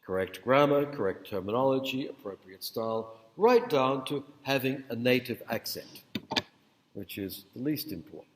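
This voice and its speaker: A man's voice: mid-range voice, animated, normal speed, slight background noise. A man giving a university lecture in a conversational, digressive way, often checking in with the audience. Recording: echoey hall with long reverb.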